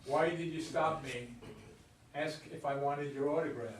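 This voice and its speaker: A man's voice: on the high side, steady tone, normal speed, natural voice. An actor in a staged play reading, playing an earnest, inquisitive married man who speaks plainly and loves baseball. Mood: curious. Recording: echoey room, distant mic, background noise.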